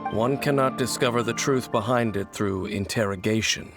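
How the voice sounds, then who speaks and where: An actor playing a mysterious, grave wandering swordsman. He speaks in a measured and cryptic way. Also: lowish voice, creaky, fairly bright tone, soft background music. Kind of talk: video game cutscene voice acting.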